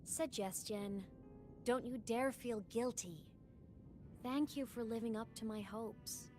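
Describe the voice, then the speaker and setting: A woman's voice: fairly high pitch, takes it slowly, a little mellow. A woman voicing a plucky, cheeky young heroine in a video game, with breezy, slangy delivery. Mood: tender, brave. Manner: teasing, breezy slangy banter. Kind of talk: video game character acting. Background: game music.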